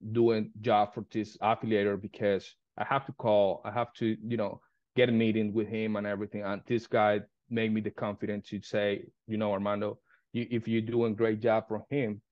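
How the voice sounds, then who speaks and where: Spanish accented guy, limo owner, halting and repeating words, dry muffled call audio. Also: lowish voice, dry recording. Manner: halting, repeats words, non-native grammar. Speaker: limo business owner, guest. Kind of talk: casual interview on a video call. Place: living room at home. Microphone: phone camera on a video call.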